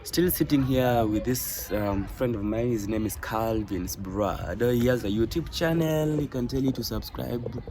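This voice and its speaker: A Kenyan man hosting a casual vlog, switching between English and Swahili with halting, drawn-out phrasing, outdoor noise around him. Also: mid-range voice, dark tone. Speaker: vlog host.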